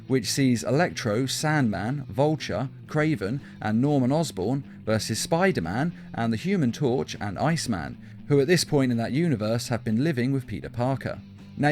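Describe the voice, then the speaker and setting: A man's voice: medium pitch, a little mellow. A man reading a scripted countdown as an emphatic, opinionated fan commentator. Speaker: video list narrator. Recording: music behind the voice. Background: guitar backing music.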